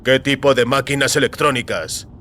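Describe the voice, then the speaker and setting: A man's voice: medium pitch, moderate pace, fairly bright tone, natural voice. Guy doing a stern security officer in an audio drama, clipped pushy questions, noisy background. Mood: stern, probing. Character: stern, insistent male government security officer interrogating. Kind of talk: audio fiction acting.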